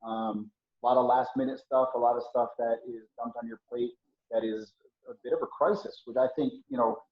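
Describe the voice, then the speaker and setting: A middle-aged man hosting a casual interview, talking at length in an encouraging way with frequent fillers. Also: medium pitch. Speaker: host. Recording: dull roomy audio.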